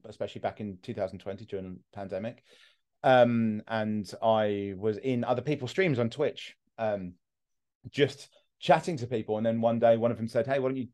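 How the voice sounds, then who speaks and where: A man's voice: mid-range voice, dark tone. A man, a mental health content creator, talking bluntly and at length in a candid interview. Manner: blunt, rambling, self-deprecating.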